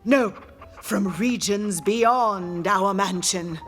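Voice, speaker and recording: A woman's voice: mid-range voice, drawn-out words, soft background music. A woman playing an imperious, scornful ghost medium in a theatrical audio drama, with a haughty, grandiose way of talking. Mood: imperious, intent. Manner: haughty, grandiose, sharp-tongued.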